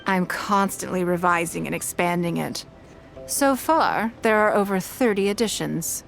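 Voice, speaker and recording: A woman voicing an elegant, shrewd and courteous businesswoman in scripted video game dialogue, speaking in a poised, measured and articulate way. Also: on the low side, gravelly. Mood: thoughtful, proud. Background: background music.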